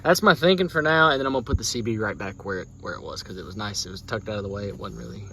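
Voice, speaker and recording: A man's voice: lowish voice, expressive, rapid-fire, bright, forceful tone, a little hiss or hum. An American man talking casually and thinking aloud as he hosts a hands-on truck tutorial in a folksy, informal way. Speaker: DIY truck vlog host.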